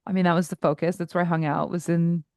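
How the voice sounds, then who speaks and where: A woman in her forties, a voice coach and guest in an interview, who talks candidly in quick asides and laughs easily. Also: lowish voice, level tone, a little mellow, no room sound, clean studio sound.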